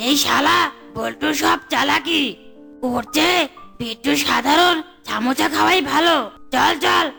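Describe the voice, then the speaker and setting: A woman's voice: sits high, unhurried, creaky, pushed and bright, faint music behind, full character voice. A woman voicing a gullible, grumbling animal character in a children's cartoon. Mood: indignant.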